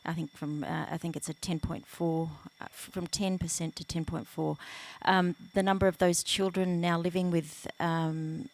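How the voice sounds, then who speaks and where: A woman speaking as a children's advocate on a conference panel, soft-spoken and measured. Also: fairly low pitch, flat delivery. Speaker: national children's commissioner. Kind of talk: panel discussion. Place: conference panel at a live event. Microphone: event microphone.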